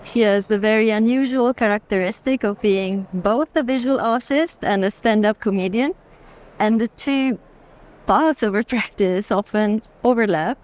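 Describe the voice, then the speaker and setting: A woman's voice: medium pitch, normal speed, a little mellow. A woman with a mid-range voice speaks as a curator guiding visitors through an art fair. She talks spontaneously and reflectively, unfolding long explanations a phrase at a time. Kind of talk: unscripted walking conversation about art. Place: busy art fair hall, walking.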